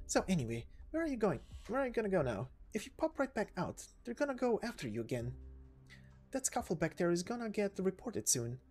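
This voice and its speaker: A woman voicing a chatty, warm-hearted young female knight in a game story, breezy and informal. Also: mid-range voice, big pitch swings, brisk, faint music behind, voice pushed up. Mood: concerned, brisk. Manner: breezy, informal, hesitating as she talks.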